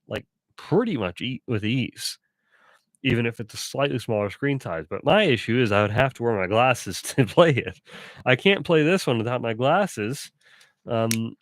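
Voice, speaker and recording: A man's voice: medium pitch, no echo. A man streaming live in casual chat, rambling and self-correcting, a bit stuffy and sore-voiced.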